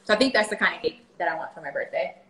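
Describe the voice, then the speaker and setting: A woman's voice: on the low side, expressive, racing, reverberant, crowd noise. A woman in her thirties chatting casually on a livestream at home, answering viewer questions with lots of fillers. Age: 30s. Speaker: vlogger. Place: home kitchen. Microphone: phone camera at table.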